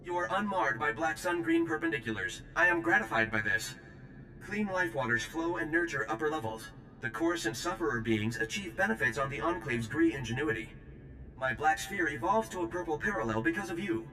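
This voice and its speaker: A man's voice: lowish voice, sing-song, a little fast, roomy sound, over music, rough recording. A male actor playing an earnest, aggrieved alien maintenance worker in a video game, speaking in stilted, formal, oddly worded phrases. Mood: grateful, formal. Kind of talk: video game character acting.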